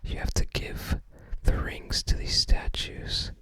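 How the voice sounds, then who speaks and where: A person whispering softly and breathily in an ASMR style, musing unhurriedly in unscripted commentary. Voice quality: soft breathy whisper, unvoiced. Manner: unhurried, musing, tentative recall. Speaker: ASMR video host. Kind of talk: ASMR, unscripted whispered commentary while browsing a game guide book.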